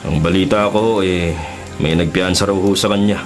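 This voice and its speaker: Actor as stubborn but kind ranger husband, filipino radio drama, lowish voice, music behind him. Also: male voice, moderate pace, close to an everyday voice. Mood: respectful. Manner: earnest, calmly insistent. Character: stubborn, devoted, good-hearted forest ranger husband.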